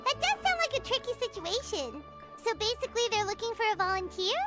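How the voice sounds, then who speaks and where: A woman voicing a small, excitable fairy-like girl companion in a video game, with a squeaky, childlike, chirpy delivery. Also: fast talker, loud music behind the voice. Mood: chirpy, curious. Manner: chirpy, exclaiming, speaks of self in third person.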